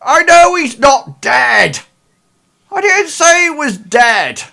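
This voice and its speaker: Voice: high-pitched, huge pitch swings, slow pace, pushed and bright, voice pushed up, full character voice. A game master voicing a brusque woman who hired the team, exasperated and blunt.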